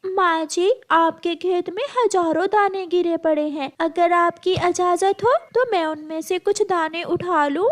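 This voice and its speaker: Woman as young male cartoon bird, squeakily high, eager, well-mannered. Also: steady tone, unhurried. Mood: eager, polite. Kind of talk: cartoon voice acting.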